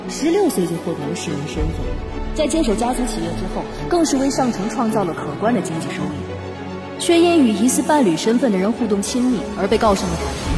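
An actress playing an earnest advocate, arguing formally in a radio drama courtroom. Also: female voice, a little high. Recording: echoey hall over music.

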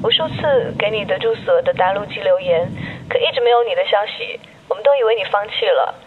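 A woman's voice: medium pitch, fast, close to an everyday voice. A woman playing a frank, earnest young friend in a radio drama phone conversation. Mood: grave, reproachful. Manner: blunt, earnest. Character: frank young female friend breaking hard news. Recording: tinny phone line, faint noise.

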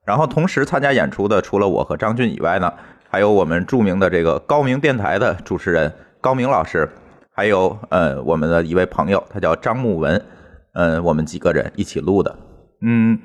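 Low-voiced guy podcast host, just chatting through an intro, casual. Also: expressive, brisk.

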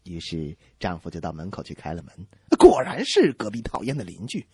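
A man co-hosting a radio comedy show, telling jokes and bantering in a lively way. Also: deep voice, fairly quick, husky, no room sound, studio quality.

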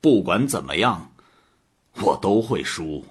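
A male actor as an earnest creator god in a radio play. His lowish voice is very husky and rough, rising and falling dramatically with theatrical conviction. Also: very animated, slow pace, exaggerated voice. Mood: protesting. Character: earnest creator god, proud of living things. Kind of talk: audio drama acting.

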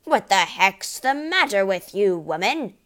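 A woman voicing a blustering, indignant middle-aged father in a storybook, shouting in outrage and panic. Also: on the low side, big pitch swings, a little slow. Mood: angry.

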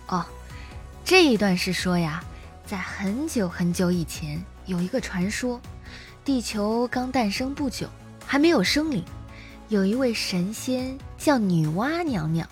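A woman plays a gentle storyteller explaining a legend to a child. Her voice is fairly high, over soft music, in audio-drama style. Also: expressive, measured pace.

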